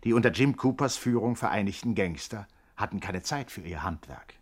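A man giving deliberate, mock-grave scripted narration for a 1960s radio crime drama. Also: fairly low pitch, animated. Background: music.

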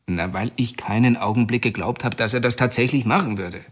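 A man's voice: medium pitch, brisk, lightly acted. An actor playing a male prison informant in a radio drama, speaking in a confessional, uneasy manner.

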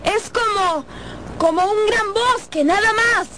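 A woman's voice: extremely high pitch, takes it slowly, noisy background. An actress playing a young captive woman in a radio drama, coaxing and carefully feigning innocence. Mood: feigned calm. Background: music.

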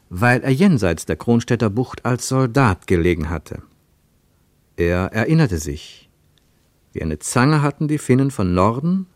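A man narrating a literary radio play in a measured, deliberate storytelling way. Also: lowish voice, darkish tone, no room sound.

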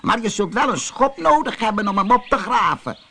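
Man putting on a high, whiny little old dwarf. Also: animated, fairly quick, sharp tone, full character voice. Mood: sly. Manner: cheeky, protesting, exclamatory. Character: tiny old bearded male dwarf, sly and whining. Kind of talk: acted dialogue in a children's fairy tale.

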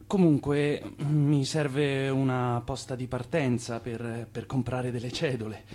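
A man's voice: medium pitch, flat delivery, takes it slowly, darkish tone. An actor in a radio play playing a scheming, evasive immigrant man who talks persuasively but hesitates under pressure. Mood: sly, confident. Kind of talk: theatrical radio play dialogue.

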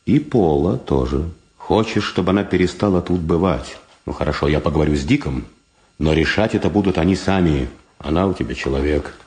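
An actor playing a proud, principled blind war veteran in a radio drama, measured, restrained and ironic. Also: male voice, sits low, a little mellow, roomy sound, close to an everyday voice. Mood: weary, firm.

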